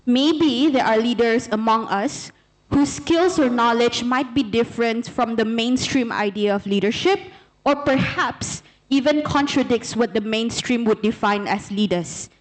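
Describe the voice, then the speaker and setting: A woman's voice: a little high, a little slow, low-quality recording. A woman in her twenties, a student putting an articulate, formal question earnestly from a conference audience. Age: 20s.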